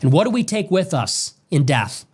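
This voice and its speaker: Man, slightly high voice, solemn, preacherly cadence, grave monologue, played-back stream. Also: sing-song, moderate pace, darkish tone, husky. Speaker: political commentator. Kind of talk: solemn monologue on death and faith.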